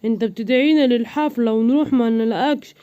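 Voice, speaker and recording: A woman's voice: a little high, fast talker, dark tone, clean tone, dry recording, highs cut off, close to an everyday voice. An Egyptian woman voicing an indignant, bewildered bear who scolds in a cartoon.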